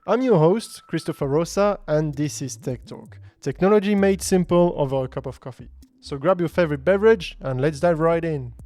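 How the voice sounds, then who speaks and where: A man's voice: a little high, normal speed, darkish tone, dry recording. A man hosting a business podcast, reading a scripted intro and then hosting in an upbeat, enthusiastic way. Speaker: podcast host.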